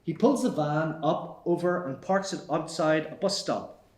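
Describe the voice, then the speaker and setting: A roomy theatre recording of a man. He narrates stage directions from a screenplay. His voice is a little higher than most men's. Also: animated, a little slow. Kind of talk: scripted narration at live table read.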